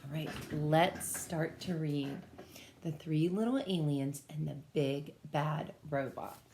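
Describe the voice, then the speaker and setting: A woman reading a picture book aloud as a teacher, pausing to explain and put questions to young children. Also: sits low, darkish tone, a little hiss or hum. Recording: echoey room sound.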